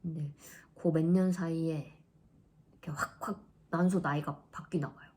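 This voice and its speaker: A woman in her thirties chatting casually in a vlog, laughing often and dropping into soft asides. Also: sits low, fairly even intonation, moderate pace. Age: 30s. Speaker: vlogger.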